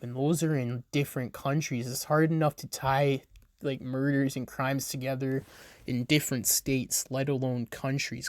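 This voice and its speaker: A man hosting a true crime podcast, reading a script haltingly, stumbling over names and adding blunt, swearing asides. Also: mid-range voice, no room sound, clean studio sound.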